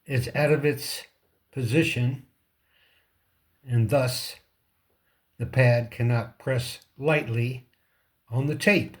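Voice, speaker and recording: A man casually hosting a hands-on repair demonstration, talking in short, halting phrases as he thinks aloud. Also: mid-range voice, steady tone. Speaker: repair tutorial host.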